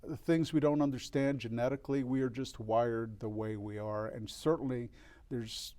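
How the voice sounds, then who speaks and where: A man's voice: mid-range voice, fairly even intonation, dark tone. Earnest older man reflecting in an interview. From El Paso, Texas. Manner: reflective, earnest, with frequent restarts and pauses. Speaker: guest, record store owner. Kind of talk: candid interview conversation.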